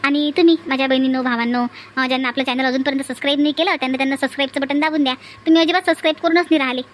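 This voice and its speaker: A woman's voice: high-pitched, fairly even intonation, very fast, close to an everyday voice. A woman voicing a bossy, chatty cartoon village aunt with a Vidarbha Marathi accent, nagging and repeating herself. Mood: urging. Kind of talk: exaggerated comic cartoon dialogue.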